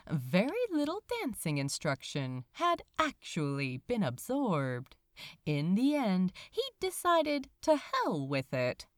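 A woman reading a story aloud as narrator, in an expressive scripted storytelling style. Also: mid-range voice, no echo. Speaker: audiobook-style narrator of fan fiction.

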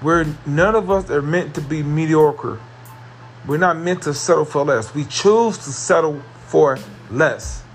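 A man hosting his own podcast, talking earnestly and repetitively about positivity, with a carrying voice that rises into excited emphasis. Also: on the high side, measured pace, soft background music. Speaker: podcast host. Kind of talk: motivational solo podcast monologue.

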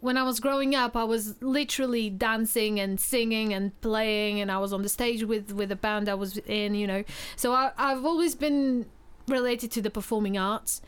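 A young woman from Portugal, co-hosting a podcast, telling her story in a casual, animated way with many restarts. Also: on the high side, normal speed, few pauses, smooth. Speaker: podcast co-host. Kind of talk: casual chat.

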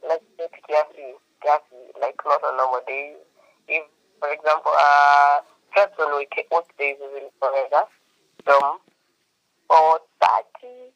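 A man's voice: fairly high pitch, fairly even intonation, slow pace, gravelly, a bit bright, dry recording, dull audio. A man, co-hosting a casual chat in a playful, joking way and laughing often. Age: 30s. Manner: playful, laughs often, mixes Swahili and English. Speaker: podcast co-host.

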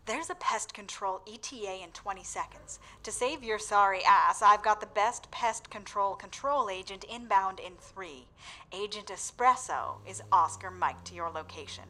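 A woman's voice: medium pitch, normal speed, sharp tone, lightly acted. A woman voicing a scornful female raccoon general giving clipped, scolding commands.

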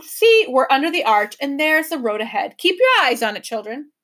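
A woman voicing a polite, steady older professor who keeps the children calm, in a storybook reading. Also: sits high, normal speed. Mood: encouraging. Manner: courteous, encouraging, steady.

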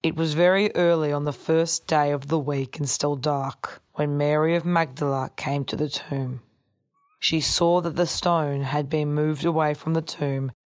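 A woman's voice: sits low, no echo. A woman reading a Gospel passage aloud in a formal scripture-reading style. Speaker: scripture reader.

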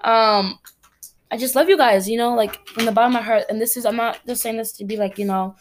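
A woman's voice: on the high side, a little fast, slight background noise. A teenage girl from Cameroon hosting her own podcast, talking in a chatty, rambling, earnest way. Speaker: podcast host. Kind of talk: casual solo podcast chat.

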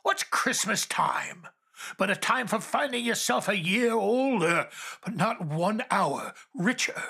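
An actor in a radio drama playing a bitter, miserly old male banker who speaks in a scornful, mocking, deliberate way. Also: medium pitch, lively intonation, vocal fry, bright, forceful tone, husky, full character voice. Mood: scornful, grumbling.

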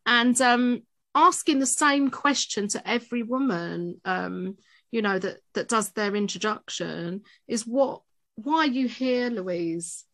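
A woman hosting a podcast discussion. She speaks gently and haltingly, with frequent fillers and restarts as she introduces guests and asks follow-up questions. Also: fairly high pitch. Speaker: host.

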